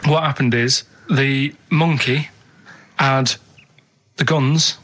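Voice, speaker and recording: A man with an average-pitched voice talks casually on a radio show. He rambles earnestly through his anecdote and stays unperturbed, as if every detail made sense. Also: steady tone, slow pace, fairly bright tone. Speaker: comedy radio show regular telling absurd animal news. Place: radio studio.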